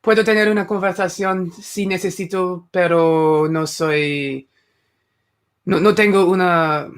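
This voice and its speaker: Young male streamer, higher-pitched, loose rambling chat with restarts. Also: steady tone, a little slow, clear, resonant tone. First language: English. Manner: rambling, chatty, many fillers and restarts. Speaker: live stream host. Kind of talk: casual live commentary chat.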